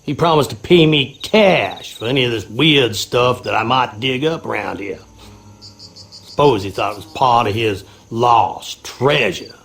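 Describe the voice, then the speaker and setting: Gravelly Southern handyman. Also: medium pitch, animated, a little slow, a bit bright. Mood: chatty, puzzled. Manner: folksy drawl, colloquial. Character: folksy, skeptical Southern handyman. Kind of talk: video game dialogue.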